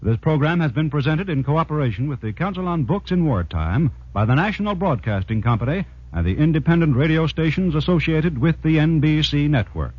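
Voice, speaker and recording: Husky American announcer, dull 1940s radio. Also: male voice, on the high side, animated, no room sound. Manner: formal, deliberate phrasing.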